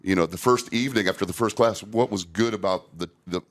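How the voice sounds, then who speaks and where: Low-pitched older man, candid podcast host. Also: a little fast. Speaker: podcast host and safety trainer. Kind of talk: casual podcast conversation.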